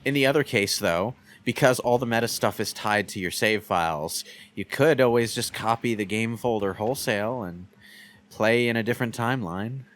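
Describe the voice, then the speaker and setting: A man giving casual game commentary in conversation, with halting delivery and repeated starts. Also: fairly low pitch, a bit bright.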